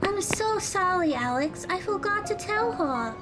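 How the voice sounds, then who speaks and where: A woman's voice: very high voice, animated, unhurried, over loud music, rough recording. A woman playing a kind teenage schoolgirl in an audio drama, with a girlish voice and a polite, earnest manner. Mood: concerned, apologetic.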